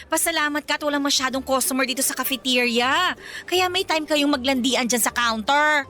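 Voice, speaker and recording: An actress playing a sassy, protective young best friend in a radio romance drama, with an exaggerated, teasing and scolding manner. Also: female voice, high voice, brisk, pushed and bright.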